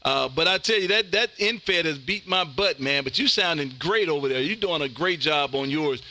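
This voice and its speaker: A man's voice: a little high, animated, moderate pace, pushed and bright, a little hiss or hum. An American man hosting an amateur radio show, chatting on air in an exuberant, folksy, emphatic way. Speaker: ham radio show host.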